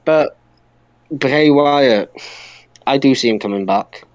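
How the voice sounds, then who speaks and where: A man's voice: mid-range voice, takes it slowly, no echo, lo-fi sound. A man hosting a wrestling podcast, chatting casually and arguing with exclamations.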